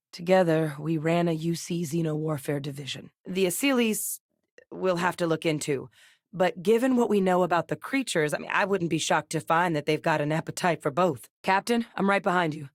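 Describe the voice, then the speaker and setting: An actress voicing a composed female scientist and military officer in a video game, speaking in a measured, earnest and direct way. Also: on the low side, no echo, studio quality, lightly acted. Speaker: game character voice. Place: recording studio. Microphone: close studio mic.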